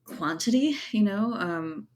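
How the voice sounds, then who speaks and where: A woman's voice: medium pitch, lively intonation, slow, darkish tone. A woman giving casual, thoughtful interview answers as a writer, explaining things with plenty of "you know" and "like". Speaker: interview guest, writer and editor.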